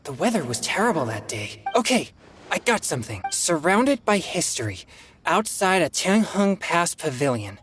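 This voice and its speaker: Game role: modest teenage boy, low-set voice, lively intonation, faint music behind. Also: moderate pace. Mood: earnest, thoughtful. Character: earnest, modest teenage boy, thinking aloud. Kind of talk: video game voice acting.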